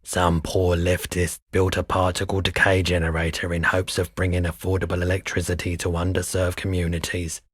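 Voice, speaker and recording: An actor playing a laid-back, world-weary pawnshop owner in a video game, husky, soft-spoken and wistful, with an unhurried, low-key delivery. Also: male voice, monotone, no room sound, studio quality. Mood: weary, matter-of-fact. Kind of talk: video game character dialogue.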